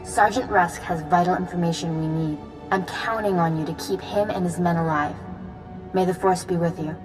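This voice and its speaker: An actress playing a grave, resolute Jedi commander in a video game, giving briefings in a serious, measured, authoritative manner. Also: female voice, lowish voice, steady tone, over music, lightly acted. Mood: urgent. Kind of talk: video game cutscene acting.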